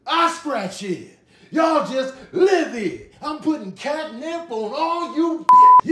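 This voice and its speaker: Man voicing a high-pitched, furious housecat. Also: reverberant, background noise. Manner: indignant, swaggering, cursing. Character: furious male housecat, foul-mouthed, vengeful, threatening. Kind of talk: comic animal voiceover over viral clips.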